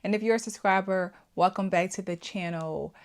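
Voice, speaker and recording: A woman's voice: mid-range voice, steady tone, normal speed. A woman hosting a casual opinion vlog, talking emphatically and repeating her points with rhetorical questions. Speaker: YouTube channel host. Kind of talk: casual opinion commentary to camera.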